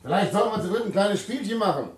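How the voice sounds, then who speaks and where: A man's voice: high-pitched, lively intonation, quick pace, heavy background noise. An actor playing a cynical, mocking male prison guard in a 1960s radio drama. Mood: brusque. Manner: brusque, mocking.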